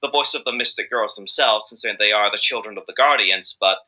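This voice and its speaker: A man's voice: fairly low pitch, fairly quick, sharp tone, poor audio. A man with an Irish accent chatting casually as an interview guest, hesitant and rambling but enthusiastic. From Ireland. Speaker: interview guest, amateur fandub voice actor.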